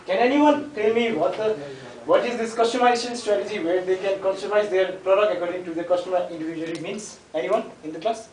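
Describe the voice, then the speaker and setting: Young guy presenting in class, pretty high voice, echoey room, mic far off. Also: quick pace, few pauses, darkish tone. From Nepal. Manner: hesitant, jokey, addresses the class. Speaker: student presenter.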